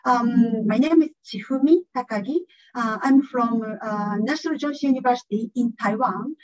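Woman, non-native english speaker, halting conference presentation, dry close sound. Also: fairly high pitch, darkish tone, no room sound, lo-fi sound. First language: not English. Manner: hesitant, many fillers. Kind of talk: online academic presentation. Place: indoor room.

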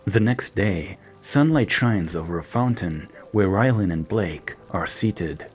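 A man narrates scripted action for an audio drama. His voice is notably deep. Light music plays behind him. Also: animated, a little slow.

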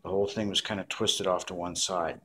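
A man's voice: low voice, fairly even intonation, fairly quick, pushed and bright, slight background noise. A man giving a casual hands-on craft tutorial, explaining step by step in plain words.

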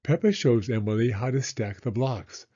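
An older man reading a children's story aloud as a storyteller, with expressive, deliberate phrasing for young listeners. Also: medium pitch, slightly rough, lo-fi sound. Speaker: storyteller, elementary school teacher.

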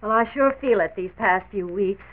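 Actress as modest decorator, tinny old radio. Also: female voice, mid-range voice, moderate pace, natural voice. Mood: self-deprecating. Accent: American. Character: modest, self-deprecating woman interior decorator. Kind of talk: late 1940s radio drama acting. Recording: tinny, hissy 1940s radio transcription disc.

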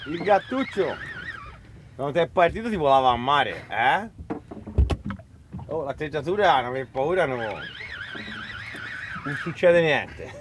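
A man's voice: fairly high pitch, huge pitch swings, slow, long pauses, noisy background. A man hosting a fishing show, chatting casually on a small boat at sea and coaching his fellow angler.

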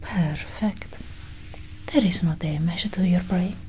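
Slow, whispering woman in ASMR roleplay. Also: poor audio. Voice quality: breathy, whispered. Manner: slow, deliberate, hushed. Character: calm, clinical female alien scientist examining a human. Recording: steady low engine-like hum.

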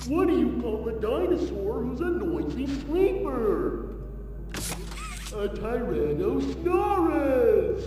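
A creepy cheerful toy mascot that taunts in a sing-song way. The voice sits very high and drawn out. It sounds distant in an echoey game mix with music. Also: male voice, exaggerated voice. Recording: echoey game audio with far reverb, music.

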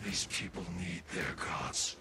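An actor playing a grand, brooding godlike being in a video game cutscene, gravelly, solemn and commanding. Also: male voice, low-pitched, takes it slowly. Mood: grave, ominous. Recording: loud music and noise behind.

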